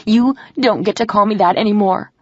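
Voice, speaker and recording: A woman voicing a grieving, furious young woman who confronts her lover in heated, shrieking outbursts, with a husky, gravelly voice. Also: medium pitch, brisk, darkish tone, no echo, muffled recording, voice pushed up, close to an everyday voice.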